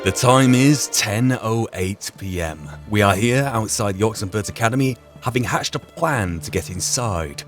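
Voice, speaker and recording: A man's voice: fairly low pitch, lively intonation, moderate pace, few pauses, soft background music. A man doing wry, understated let's play commentary, sometimes narrating the game as a role-play. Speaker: gaming channel host.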